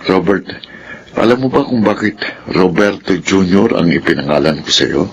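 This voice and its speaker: Deep-voiced Filipino father. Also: animated, normal speed, slightly rough, muffled recording, full character voice. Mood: coaxing, fatherly. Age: middle-aged. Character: doting, gently nagging middle-aged father of a grown son. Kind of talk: radio drama acting. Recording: faint background noise.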